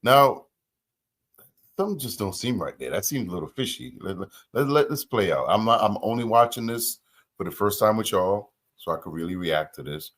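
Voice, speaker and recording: A man's voice: fairly low pitch, lively intonation, moderate pace, a bit bright. A man hosting a reaction channel, talking casually and musing aloud with rhetorical questions.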